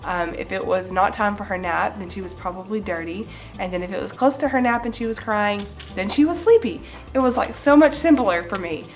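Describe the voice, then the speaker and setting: A young woman in her twenties with a cold, a little raspy and nasal, chatting to camera about her babies in a casual home vlog, gesturing as she talks. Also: medium pitch, a little fast, faint music behind. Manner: chatty, gestures with hands while explaining. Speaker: mom vlogger. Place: bright living room indoors.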